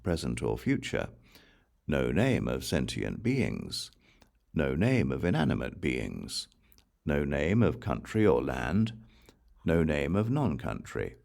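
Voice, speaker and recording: A man reading scripture as an audiobook in a measured, solemn way, phrase by deliberate phrase. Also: rumbling low, gravelly, a little mellow. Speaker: audiobook reader.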